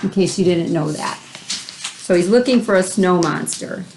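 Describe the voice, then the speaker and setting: A woman's voice: fairly low pitch, a little slow, darkish tone, a little hiss or hum, poor audio. A woman reading a children's picture book aloud, adding chatty asides and simple explanations for young listeners. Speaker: read-aloud host.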